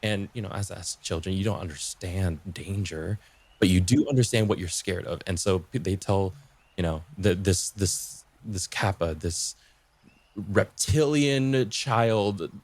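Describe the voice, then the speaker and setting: A man explaining folklore in casual conversation as a podcast guest, haltingly rephrasing and repeating himself as he goes. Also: deep voice, a little mellow, no room sound.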